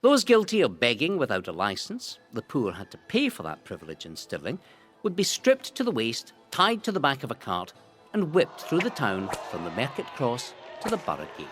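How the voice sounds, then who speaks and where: A Scottish man reading a scripted history narration in a theatrical, relishing way, with deliberate emphasis. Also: medium pitch, huge pitch swings, fairly bright tone. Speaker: narrator of a local history audio guide. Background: music.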